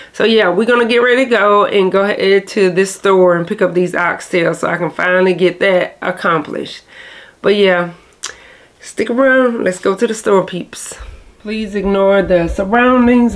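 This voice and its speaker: A woman, chatting casually to camera in a rambling, self-correcting way. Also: mid-range voice. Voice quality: nasal. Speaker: vlogger. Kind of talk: casual vlog chat to camera.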